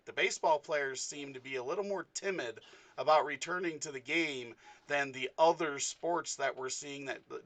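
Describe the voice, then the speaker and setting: Male sports podcast host, bright forceful tone, dry close sound, loose rambling delivery. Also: medium pitch, no echo. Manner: rambling, many fillers. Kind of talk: casual interview chat.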